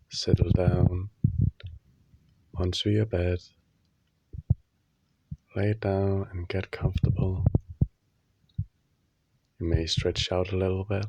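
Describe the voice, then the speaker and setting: A man leading a guided sleep meditation in a soothing, instructional manner, leaving long pauses between short phrases. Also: sits low, creaky, a little mellow, a little hiss or hum, rough recording.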